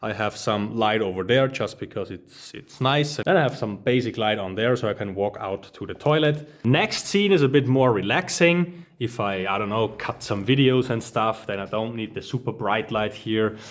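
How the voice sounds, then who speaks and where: A man's voice: lowish voice, normal speed, hardly any pauses. German-accented man teaching smart home lighting. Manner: enthusiastic, casual, addresses viewers as guys. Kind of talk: hands-on tutorial walkthrough. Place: office studio room, indoors. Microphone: phone camera held while walking.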